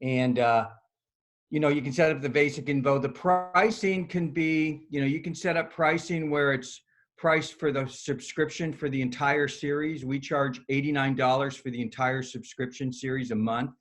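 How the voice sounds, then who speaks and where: A middle-aged man, a yoga studio owner, giving a loud, upbeat, chatty screen-share talk over a video call, with lots of "right?" tag questions. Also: medium pitch, steady tone, moderate pace. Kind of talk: casual screen-share presentation.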